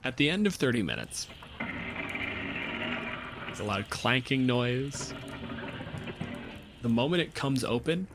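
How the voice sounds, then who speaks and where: A man's voice: mid-range voice, background noise. A man narrating a tabletop game as game master in a casual, profane way.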